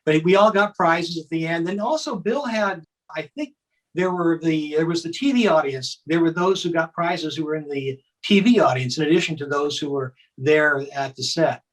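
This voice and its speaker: A man's voice: on the high side, unhurried, rough recording. An older man casually reminiscing as a guest, chatty and anecdotal in his telling. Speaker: guest, former child contestant.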